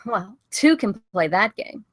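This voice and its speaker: A woman's voice: medium pitch, sing-song, unhurried, dry recording, clean studio sound. A woman playing a hurt, confrontational young woman in a drama table read. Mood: hurt, angry.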